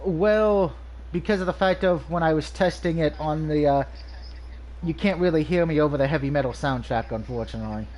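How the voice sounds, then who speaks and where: A man with a slightly high voice talks through a video game review in a loose, rambling way. He sounds nasal and stuffed up from allergies, pausing often on "um" and restarting his sentences. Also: a little mellow, slight background noise, poor audio. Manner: rambling, frequent ums and restarts. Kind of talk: casual game review over gameplay.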